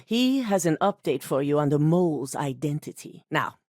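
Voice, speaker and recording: A cold corporate executive. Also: female voice, sits low, animated, moderate pace, a little mellow, dry recording, studio quality. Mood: cold authority. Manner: curt, authoritative, businesslike. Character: cold, commanding female corporate executive, middle-aged. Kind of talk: video game dialogue.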